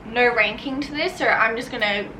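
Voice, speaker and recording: A woman's voice: fairly high pitch, moderate pace, sharp tone, echoey room, a little hiss or hum, low-quality recording. An Australian woman talking casually to camera as a book vlogger, bubbly and gushing.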